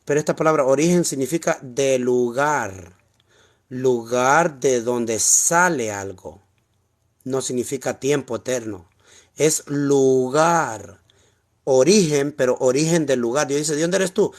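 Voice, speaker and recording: A man's voice: medium pitch, measured pace. Honduran man explaining scripture. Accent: Honduran Spanish. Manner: explanatory, repeats key words, asks rhetorical questions. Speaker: preacher teaching scripture. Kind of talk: informal Bible teaching, screen walkthrough.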